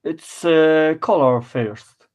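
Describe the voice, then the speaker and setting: A man speaks English with a Polish accent, slowly and haltingly, often stopping to find a word. His voice sits somewhat high for a man. The chat is relaxed and casual. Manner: halting English, searching for words with many "uh"s. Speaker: co-host.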